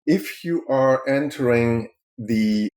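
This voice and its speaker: A middle-aged man from Germany, a healthcare consultant, answering interview questions in a measured, explanatory way with frequent fillers. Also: medium pitch, a little mellow.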